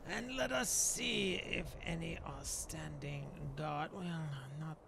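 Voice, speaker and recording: A man voicing a proud, stubborn male dark elf shaman in a fantasy game roleplay, musing haltingly in formal, old-fashioned phrasing. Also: fairly high pitch, lively intonation, vocal fry, noisy background, full character voice. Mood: watchful, musing. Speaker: gameplay host roleplaying in character. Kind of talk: improvised in-character fantasy game narration.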